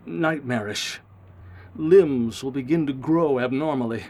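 An actor playing a grave, guilt-ridden male scientist in a radio drama, speaking formally with ominous, measured phrasing. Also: mid-range voice, darkish tone. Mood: ominous warning.

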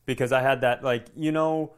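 A man's voice: mid-range voice, normal speed, clear, resonant tone. Guy on a comedy podcast ranting through a story, lots of cursing, does the back-and-forth dialogue, regular mid voice. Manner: animated, profane, acts out quoted dialogue.

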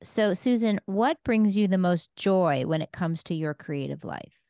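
A woman's voice: lowish voice, expressive, measured pace, warm tone, no room sound, clean studio sound. A woman hosting a podcast interview in a conversational style, putting questions to a guest. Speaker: podcast host.

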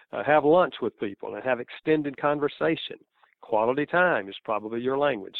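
A man's voice: medium pitch, creaky, no room sound. A man with a Southern US accent, an author answering interview questions in an earnest, explanatory way, chuckling now and then. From American South. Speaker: author guest. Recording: tinny phone-like line, dry.

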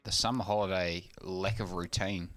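A man's voice: deep voice, fairly even intonation, normal speed, a bit bright, no room sound. A man from New Zealand co-hosting a casual podcast chat, talking with many fillers and restarts. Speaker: podcast co-host.

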